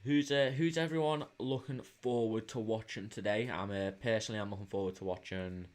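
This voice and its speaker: Low-voiced Liverpool man hosting a livestream. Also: steady tone, a little fast. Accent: Liverpool English. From England, Liverpool. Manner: hesitant, informal, reading out viewer comments. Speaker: livestream host. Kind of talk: casual live chat with viewers, football watch-along.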